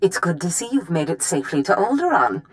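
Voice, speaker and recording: A woman playing a scheming Sith mistress in a video game, speaking with silky, measured formality. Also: fairly low pitch, big pitch swings. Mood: gracious, coaxing. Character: scheming Sith lord mistress, gracious and coaxing. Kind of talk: scripted video game dialogue.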